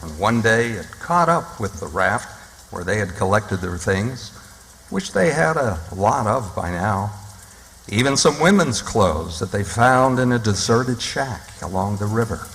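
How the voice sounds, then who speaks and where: A man's voice: fairly low pitch, very animated, unhurried, a bit bright, full character voice. A man narrating a story for radio theatre in a storytelling style. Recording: reverberant hall, rain noise.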